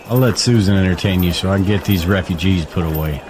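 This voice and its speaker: A man's voice: sits low, fast, darkish tone, a little hiss or hum, natural voice. An actor playing a matter-of-fact henchman who handles refugees in an audio drama.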